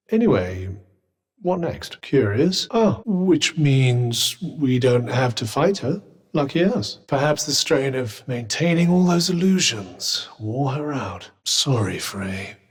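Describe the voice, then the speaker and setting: A sardonic talking magic bracelet from a video game. His husky voice swoops through a wide, animated range as he needles his companion with dry, teasing remarks. The humor stays bone-dry throughout. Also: mid-range voice. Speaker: companion character.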